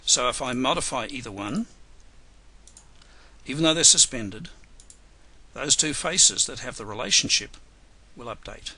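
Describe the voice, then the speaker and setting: A man losing his voice, hoarse and chatty, teaching a live software webinar with dry, self-mocking humor. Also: medium pitch, expressive, measured pace, long pauses, no room sound. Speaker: software trainer.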